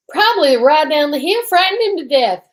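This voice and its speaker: A woman's voice: sits high, lively intonation, a little slow. A woman playing an eccentric, tipsy male photographer with a pompous, flowery, theatrical manner in old-time radio style. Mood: theatrical, incredulous. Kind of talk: old-time radio comedy-detective acting.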